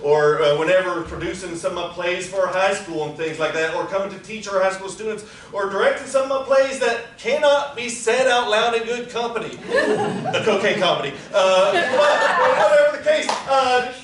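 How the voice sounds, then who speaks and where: A high-pitched man with a drawl speaks to a live theater audience, loose and chatty, laughing between his notes. He sounds far from the mic, caught in a very echoey room with noise underneath. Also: normal speed, fairly bright tone. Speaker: producer introducing a table reading. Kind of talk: live casual announcements to an audience.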